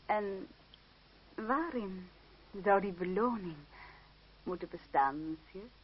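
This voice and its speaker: Lilting, coquettish young actress. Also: female voice, medium pitch, lively intonation, slow. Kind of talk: radio drama acting. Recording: muffled, slightly noisy old radio play.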